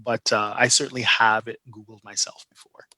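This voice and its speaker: A man's voice: lowish voice, flat delivery, brisk, pushed and bright, no echo. A man author answering an interview question reflectively, hedging his words with nervous laughs. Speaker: guest author.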